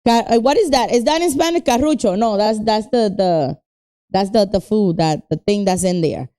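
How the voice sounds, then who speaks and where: A woman's voice: medium pitch, moderate pace, warm tone. A woman with a New York accent hosting a casual chat show while under the weather, laughing often and switching between Spanish and English. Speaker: host.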